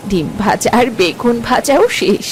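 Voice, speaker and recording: Lady doing old village granny for a cartoon, caring n coaxing, highish, faint hiss behind. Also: lively intonation, normal speed, lightly acted, rough recording. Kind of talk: cartoon story acting.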